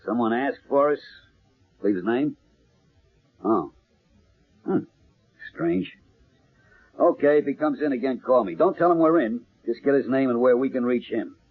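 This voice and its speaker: A husky, down-to-earth male stage hustler in a vintage radio mystery. His delivery is that of old radio acting, over narrow, muffled broadcast sound. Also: medium pitch, lively intonation, a little slow, long pauses. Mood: glum, uneasy. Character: male vaudeville partner of a mind reader, down-to-earth hustler.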